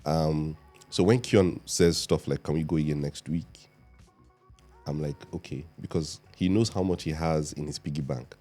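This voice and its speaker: Raspy deep-voiced Nigerian man. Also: moderate pace, mellow, soft background music. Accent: Nigerian English. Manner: storytelling, laid-back. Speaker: podcast guest. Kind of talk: casual chat.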